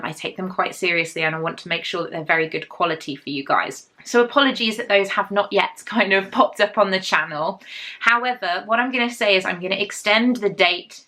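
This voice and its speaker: A woman hosting her podcast in a casual, excitable chat, her voice a little croaky from a head cold. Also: lowish voice. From England. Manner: bubbly and excitable. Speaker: podcast host.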